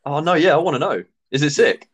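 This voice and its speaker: A man, a podcast guest in relaxed casual chat, slangy and quick to laugh. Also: on the high side, husky. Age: 30s. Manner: laid-back, laughs easily, slangy.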